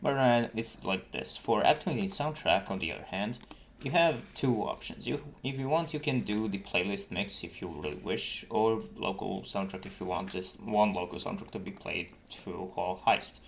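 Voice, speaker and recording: A man giving a casual, halting tutorial as a game developer, explaining things informally. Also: fairly low pitch, gravelly. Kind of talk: casual screen-recorded tutorial. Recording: noisy desktop mic with key clicks. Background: keyboard typing and mouse clicks.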